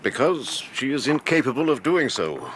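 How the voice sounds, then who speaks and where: A formal English major in a period radio drama. His voice is medium in pitch, earnest and guarded, over faint background noise. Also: very animated, normal speed, fairly bright tone. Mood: grave.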